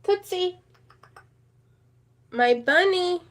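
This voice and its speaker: A woman's voice: very high voice, expressive, drawn-out words. A woman hosting a casual livestream, chatting in a rambling way and repeating her words. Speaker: livestream host.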